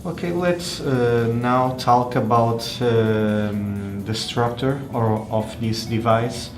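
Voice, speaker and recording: A man giving an unscripted hands-on product review in a halting, hesitant way, often pausing and repeating words. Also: medium pitch, reverberant, faint music behind. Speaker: tech reviewer presenting a phone.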